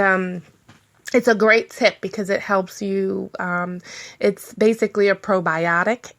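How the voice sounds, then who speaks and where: A woman's voice: medium pitch, a bit bright. A woman hosting her own internet radio show, talking casually with a congested, nasal voice from a cold and rambling with many ums and restarts. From Washington, D.C. area. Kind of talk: casual solo radio talk.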